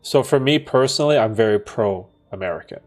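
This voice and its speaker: A man's voice: lowish voice, animated, moderate pace. A man in his thirties who grew up in Bermuda and Canada, explaining his views at length on a casual stream and often checking in with "right?". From Bermuda, raised in Canada. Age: 30s. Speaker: streamer hosting a Q&A. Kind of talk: casual chat. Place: home in Toronto.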